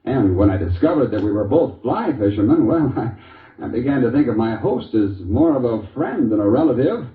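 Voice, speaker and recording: An actor playing a genial, wry husband who tells a folksy, hesitant story in an old-time radio commercial. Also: male voice, medium pitch, big pitch swings, normal speed, recorded from a distance, natural voice. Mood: pleased. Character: genial, wry husband telling beer testimonial. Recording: muffled, echoey old radio recording.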